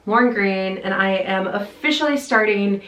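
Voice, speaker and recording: A woman's voice: medium pitch, normal speed, fairly bright tone. A woman in her late twenties talking candidly to camera, chatty and open, with lots of fillers and restarts. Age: late 20s. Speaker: content creator. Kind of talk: casual talk to camera. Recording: echoey room.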